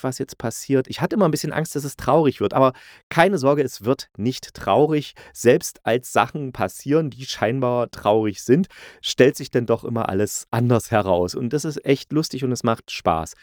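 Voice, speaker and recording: A man's voice: medium pitch, lively intonation, normal speed, a little mellow, dry recording. Enthusiastic man reviewing, dry close sound. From East Germany. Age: middle-aged. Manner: colloquial, enthusiastic. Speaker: audio drama critic, podcast host. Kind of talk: casual spoken review.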